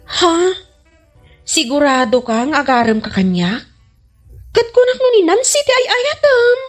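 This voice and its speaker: A woman's voice: high-pitched, big pitch swings, measured pace, faint music behind. A woman playing a feisty noodle vendor in an Ilocano comedy radio drama. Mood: doubtful, pleading.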